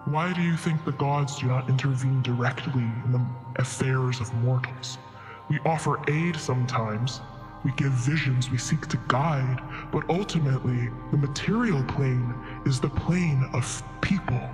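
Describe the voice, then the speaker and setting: Man performing a wise celestial creature, solemn, unhurried, drenched in reverb, fantasy roleplay over music. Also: mid-range voice, natural voice. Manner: solemn, measured, oracular. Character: ancient, wise, benevolent celestial qilin. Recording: long reverb, music behind.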